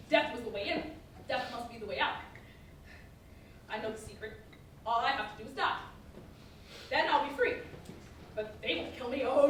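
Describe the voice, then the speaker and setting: A woman's voice: on the high side, a bit bright, lightly acted. A woman playing a scheming, grandiose traitor obsessed with death in a theatrical staged reading, declaiming with great self-importance. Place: echoey performance hall, distant mic. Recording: echoey hall from far off, faint noise.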